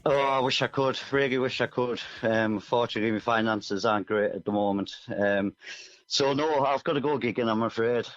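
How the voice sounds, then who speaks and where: A man's voice: mid-range voice, normal speed. A man talking as a musician guest in a casual interview, earnest, reflective and a little hesitant. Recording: dull band-limited line, music underneath.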